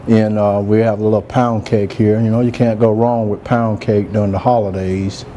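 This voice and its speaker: A soft-spoken man, chatting relaxed as he hosts a cooking demonstration in a large room. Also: lowish voice, normal speed, slight background noise. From Georgia, US. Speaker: cooking show host, chef. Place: large room with a live audience.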